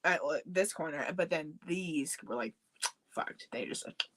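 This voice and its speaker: A woman on a podcast with a lively, swooping voice, tossing in quick reactions and playful teasing. Her talk is loose and casual. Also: deep voice. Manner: lively, frequent backchannel and teasing. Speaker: podcast co-host. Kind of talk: casual chat.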